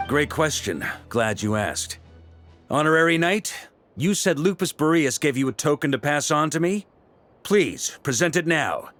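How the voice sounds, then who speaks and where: A man playing a confident, seasoned knight commander in a video game, explaining things in a genial, assured, measured way. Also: fairly high pitch, expressive, fairly bright tone, dry recording, faint music behind. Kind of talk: video game dialogue acting.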